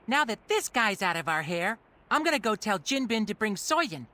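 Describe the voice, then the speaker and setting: An actress playing a plucky, cheerful young apprentice, eager and a little cocky, in a video game. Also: female voice, mid-range voice, very animated, normal speed, sharp tone, slight background noise. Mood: chirpy. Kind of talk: video game cutscene voice acting. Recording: music clearly behind the voice.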